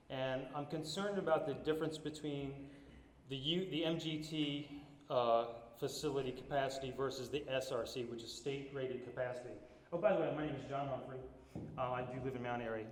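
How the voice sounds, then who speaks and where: A man with a fairly high voice asks a careful, detail-heavy question as a concerned parent and teacher. He works through his points one by one. The hall rings with a long echo. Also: slight background noise. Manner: methodical, detail-focused, concerned. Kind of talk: public question at town meeting. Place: large echoey public hall. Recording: echoey hall with long reverb.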